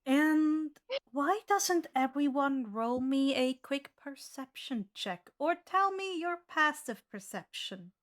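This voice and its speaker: A woman's voice: high voice, lively intonation, slow, few pauses. A woman narrating a tabletop fantasy game as the game master.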